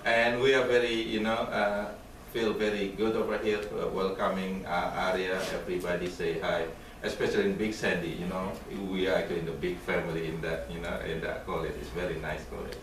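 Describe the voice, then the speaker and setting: A man, introducing himself at a council meeting in a halting way, full of fillers. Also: deep voice. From Indonesia. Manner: halting, frequent fillers and restarts. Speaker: college professor speaking at public comment. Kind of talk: informal public introduction. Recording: echoey room picked up from far off, steady noise.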